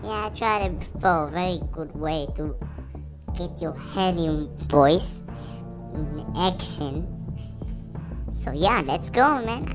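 A woman's voice: fairly low pitch, animated, slow pace, long pauses. A non-native English-speaking woman giving casual, rambling, sweary gameplay commentary, repeating phrases, her voice run through a voice changer. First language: not English. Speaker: gamer playing and commenting. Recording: music playing behind the voice. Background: loud game music and sounds.

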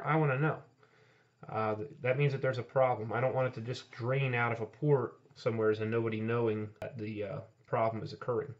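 A man's voice: medium pitch, muffled recording. A man who is an HVAC technician and channel host, plainly explaining equipment as he points things out during a hands-on walkthrough, filmed point-of-view on a camera-mounted mic. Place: indoor utility closet, later outdoors by a heat pump. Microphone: camera-mounted mic, speaker filming himself point-of-view.

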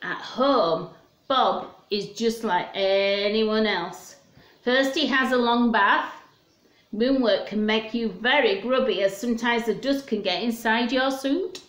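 A woman's voice: on the high side, takes it slowly, a bit bright. A woman in her fifties hosting story time for young children, talking simply and in a sing-song way with questions. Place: small room at home. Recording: roomy, echoey home recording.

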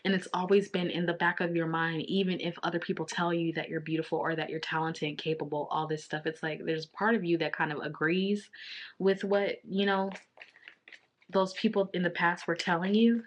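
Slightly raspy woman reading tarot. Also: fairly low pitch, level tone. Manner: intuitive, repetitive, drawn-out card naming. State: slightly raspy from long talking. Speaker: tarot reader. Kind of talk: casual tarot reading to camera. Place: small home office with desk.

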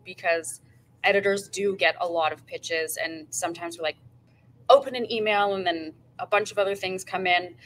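A woman's voice: fairly low pitch, fairly bright tone, slight background noise, poor audio. A young woman, a magazine editor on a panel, giving practical dos and don'ts with frequent ums, in a meeting room heard from a camera across the room. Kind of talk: panel advice talk.

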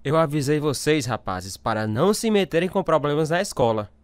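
Actor as a stern military dad, dry sound. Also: male voice, fairly high pitch, lively intonation, fairly quick, no echo, lightly acted. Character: stern, hard-nosed military father. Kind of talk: radio drama acting.

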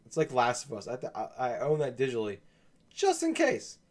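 A laid-back man chatting on a gaming podcast, his voice slightly gravelly as if he is coming down with a cold. He is mid-pitched and hesitant, full of ums and false starts. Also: huge pitch swings, brisk. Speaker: podcast co-host. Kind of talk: casual gaming chat.

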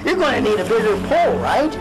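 A man's voice: high-pitched, sing-song, fast talker, over music. A man playing a nervous, put-upon police chief in a spoof radio drama, whining with quaint mild exclamations. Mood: eager.

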